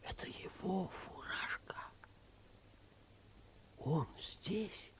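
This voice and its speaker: An actor playing an impatient, excitable petty clerk in a noisy old 1950s Russian radio play. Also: male voice, high voice, drawn-out words, whispered. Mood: awed.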